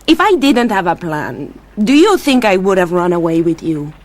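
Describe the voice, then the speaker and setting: An actress playing a gentle but shrewd young runaway bride in a radio drama. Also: female voice, lowish voice, very animated. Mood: calm. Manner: soft, measured, slyly practical. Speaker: young wife.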